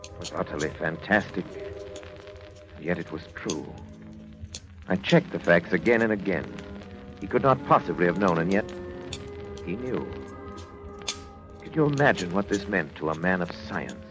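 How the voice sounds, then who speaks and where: Cold controlling psychiatrist, old radio suspense, real low voice, grand formal wording, music behind. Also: male voice, animated, low-quality recording. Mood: intense, self-important. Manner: formal, measured, grandiose diction. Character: cold, ambitious, controlling male psychiatrist husband, narrating his story. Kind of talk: 1950s radio suspense acting and first-person narration.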